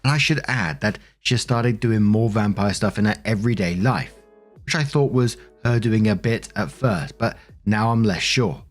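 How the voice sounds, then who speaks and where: Low-pitched man reading online stories. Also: normal speed, faint music behind. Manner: chatty, conversational. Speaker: narrator and host of online story readings. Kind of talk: reading online stories aloud with casual commentary.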